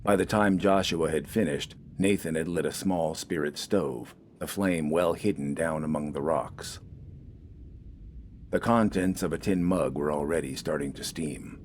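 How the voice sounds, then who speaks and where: Male audiobook narrator, deep pitch, scripted storytelling, slight background ambience. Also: measured pace, slight background noise.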